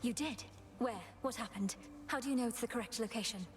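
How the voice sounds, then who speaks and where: A woman playing an earnest, resolute young witch princess in a video game, speaking in a formal, measured manner. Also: a little high, natural voice. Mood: serious, questioning. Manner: formal, measured, archaic phrasing. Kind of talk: video game drama acting.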